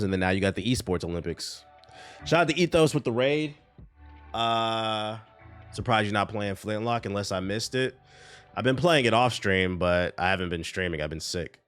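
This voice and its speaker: A man with a stuffy, congested voice, reading news aloud and chatting casually on a live stream. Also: fairly low pitch. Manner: casual, reads news aloud then riffs on chat. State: congested from a cold.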